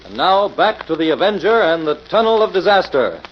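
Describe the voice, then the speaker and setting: A man's voice: high-pitched, very animated, fairly quick. A man announcing a radio drama in 1940s broadcast style. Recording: echoey, dull old radio, loud organ. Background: loud organ music.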